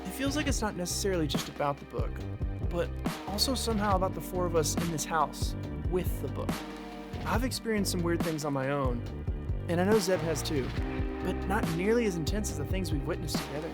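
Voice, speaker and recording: A man playing a calm, curious young housemate in a naturalistic audio drama, speaking in a measured, casual way. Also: on the high side, close to an everyday voice. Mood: thoughtful, calm. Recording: music under the dialogue. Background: music.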